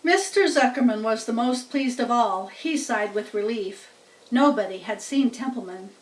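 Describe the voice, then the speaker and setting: A woman reading a children's story aloud expressively, in a deliberate, teacherly way. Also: fairly high pitch. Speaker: storybook reader. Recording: roomy echo, distant microphone.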